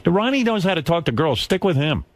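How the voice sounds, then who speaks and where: Lively, needling male radio host. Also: fairly high pitch, a little fast, no echo. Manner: teasing, blunt, needling. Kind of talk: bantering crude talk radio chat.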